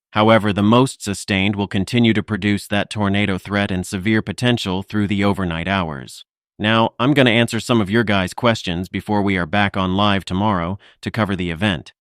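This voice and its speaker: A man playing a composed weather livestreamer, giving measured, informal technical forecast commentary. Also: lowish voice, a bit bright, no echo, clean studio sound, lightly acted. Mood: matter-of-fact.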